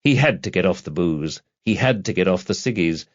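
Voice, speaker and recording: A man's voice: low voice, animated, a little fast, lo-fi sound. A man reading a crime novel aloud as an audiobook narrator, in a scripted literary style.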